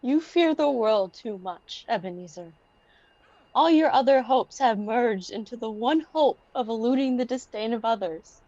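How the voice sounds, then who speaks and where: A high-voiced young fiancée acted by a teenage girl in a radio play. She is solemn, hurt and resolute as she reproaches her beloved. The recording is dry and a bit muffled. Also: normal speed, dark tone, no echo, close to an everyday voice. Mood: hurt, reproachful. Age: teens. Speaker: student actor.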